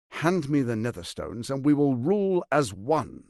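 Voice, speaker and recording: A smug villain lord for a game, slow creaky delivery, pompous and menacing. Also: male voice, mid-range voice, big pitch swings, a little mellow, no room sound, clean studio sound, exaggerated voice. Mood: silky, smug. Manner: pompous, silky, menacing, theatrically emphatic. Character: scheming, silver-tongued tyrant lord, smug and theatrical. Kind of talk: dramatic video game voice acting.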